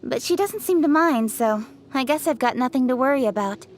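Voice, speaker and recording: A woman's voice: high voice, animated, fairly quick, a little hiss or hum. A woman voicing a confident, opinionated young official in a fantasy video game, articulate and frank. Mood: candid. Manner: articulate, self-assured, frank. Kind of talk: scripted video game character voice lines.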